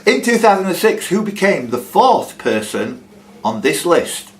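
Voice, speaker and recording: A man's voice: sits high, big pitch swings, measured pace. A man hosting a live quiz, reading out questions and adding chatty, jokey asides between them. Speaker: quiz host. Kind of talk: live pub-style quiz hosting, reading questions aloud with ad-libbed banter. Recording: clear background noise, live room.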